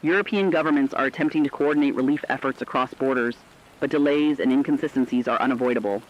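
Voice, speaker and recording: A man voicing a serious news correspondent in a dystopian radio broadcast, reading formal, measured scripted reports. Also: mid-range voice, lo-fi sound, close to an everyday voice.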